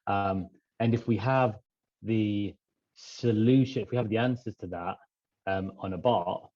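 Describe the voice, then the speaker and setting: A man talking through ideas in a conversational podcast discussion, in a halting, thinking-aloud manner. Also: on the low side, a little mellow, dull audio. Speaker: podcast regular discussing pharmacy business.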